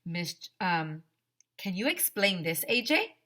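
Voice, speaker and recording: A storybook school principal, played by a woman, calm and probing. He asks his questions deliberately in a bright, forward voice, with dry doubt. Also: on the low side, exaggerated voice. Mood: questioning, skeptical. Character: adult male school principal, calmly questioning and skeptical. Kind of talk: children's chapter book read-aloud.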